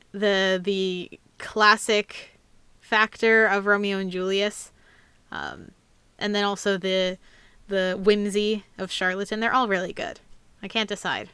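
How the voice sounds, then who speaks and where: Young female streamer: casual, rambling Q&A chat, clean smooth voice, very dry sound. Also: medium pitch, sharp tone, no room sound. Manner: chatty, rambling. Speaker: host, amateur voice actor.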